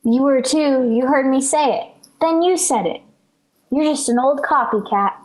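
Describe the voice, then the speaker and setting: A woman's voice: high-pitched, normal speed, natural voice, poor audio. A female performer playing a dramatic, pleading, bratty little girl in a 1940s radio sitcom. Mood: bratty insistence. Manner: melodramatic, insistent, plaintive. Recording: vintage 1940s radio broadcast.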